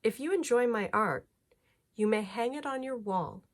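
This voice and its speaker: A woman's voice: fairly high pitch, normal speed, lightly acted. A woman reading the part of an easygoing, generous young male artist in a children's storybook, in a plain, friendly manner.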